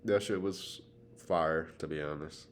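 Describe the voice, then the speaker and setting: A man's voice: steady tone, a little slow. An American man co-hosting a podcast, giving blunt opinions on TV in casual, profane slang. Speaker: podcast co-host. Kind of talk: casual TV discussion chat. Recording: faint background noise and rumble.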